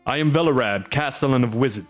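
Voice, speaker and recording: A man voicing a loud, gruff middle-aged castellan who speaks emphatically with sharp rises. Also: mid-range voice, quick pace, faint music behind, lightly acted. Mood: stern. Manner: exclaiming, emphatic, rising.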